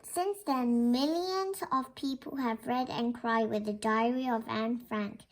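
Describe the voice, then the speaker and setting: A woman's voice: a little high, a little slow. A young girl reading a children's storybook aloud as narration. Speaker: reader of a children's book. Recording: clear background noise.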